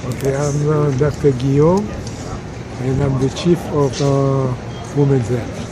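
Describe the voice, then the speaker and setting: A man answers briefly and haltingly, pausing on fillers as he names his medical role. His voice sits at an ordinary pitch. Crowd chatter rises clearly around him at an outdoor event. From Haiti. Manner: hesitant, short answers with fillers. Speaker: physician. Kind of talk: street interview answers.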